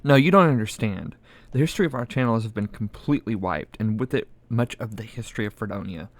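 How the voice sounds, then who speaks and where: A man's voice: lowish voice, quick pace, no room sound. A man playing a grim, despairing broadcaster in amateur audio drama dialogue. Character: grim, despairing broadcaster mourning a lost history.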